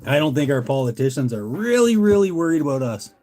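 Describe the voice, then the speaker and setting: A man's voice: a little high, big pitch swings, quick pace, darkish tone, a little hiss or hum. A Canadian man hosting a casual livestream, rambling in a laid-back, folksy way as he chats with viewers. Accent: Canadian English. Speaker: livestream host, hobbyist lure maker. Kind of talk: casual chat with live viewers.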